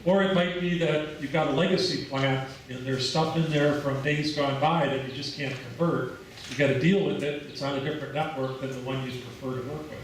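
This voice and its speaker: A man's voice: on the high side, a little fast, a little hiss or hum. A man with an American accent giving a conference presentation, explaining at an easy pace with some fillers. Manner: relaxed explanatory speaking with fillers. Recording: echoey hall, mic at a distance.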